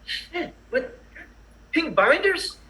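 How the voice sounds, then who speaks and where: A woman's voice: very high voice, big pitch swings, extremely slow, a bit bright, exaggerated voice. A woman playing a bitter, foul-mouthed male tax auditor in a screenplay table read, irritable and sarcastic. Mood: furious.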